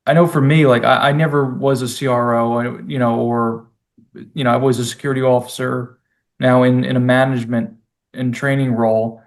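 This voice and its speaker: A man's voice: medium pitch, level tone, a little fast. A man in his forties, chatting casually as a security manager, often stumbling and repeating his words as he talks. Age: 40s. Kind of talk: casual conversation.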